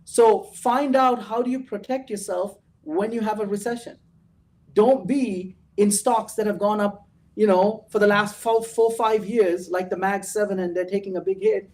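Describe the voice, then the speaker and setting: Motivational host, set back from mic. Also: male voice, sits high, steady tone, moderate pace, a little mellow, recorded from a distance. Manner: motivational, repeats "right?". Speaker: show host. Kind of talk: casual chat.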